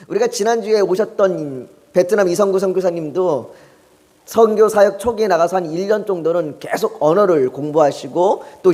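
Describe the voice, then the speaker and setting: A man preaches a Sunday sermon with a fairly high voice. He tells stories calmly and lifts his voice when he urges a point. His words ring with the long reverb of a church sanctuary. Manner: calm storytelling, rising in emphasis when urging. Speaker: pastor.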